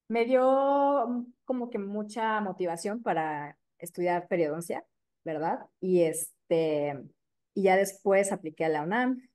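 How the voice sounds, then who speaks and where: A woman in her thirties with a Mexico City accent talks through her career in an easy, chatty way. Her voice sits low and sounds mellow and dark. She keeps a relaxed flow and drops frequent fillers. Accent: Mexico City Spanish. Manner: warm, chatty, many fillers like "este" and "eh". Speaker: periodontist guest. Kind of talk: casual interview chat.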